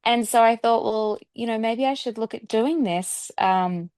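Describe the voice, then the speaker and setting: A woman with an Australian accent chatting casually as an interview guest, often softening points with filler phrases like "kind of". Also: fairly high pitch, unhurried, smooth, no room sound. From Sydney, Australia. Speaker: guest singer-songwriter.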